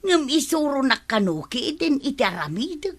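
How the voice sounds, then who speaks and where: A woman's voice: high voice, sing-song, moderate pace. A woman with an Ilocano accent playing an aggrieved, easily flustered village field worker in a radio comedy drama, grumbling and exclaiming.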